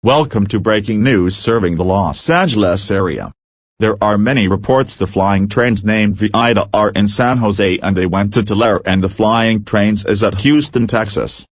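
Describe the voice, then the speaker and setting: Male anchor with a lowish voice, plain delivery, dry close sound. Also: moderate pace, dry recording. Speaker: news anchor. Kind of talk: breaking-news announcement.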